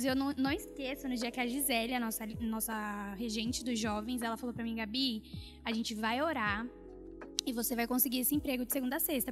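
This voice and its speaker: Earnest young woman, higher voice. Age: 20s. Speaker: guest. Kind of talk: personal testimony.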